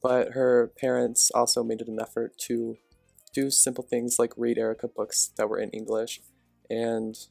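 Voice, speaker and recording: Flat, gravelly young male student narrator. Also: mid-range voice, normal speed, no echo, soft background music. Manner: even, read-aloud delivery. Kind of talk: reading a class project narration.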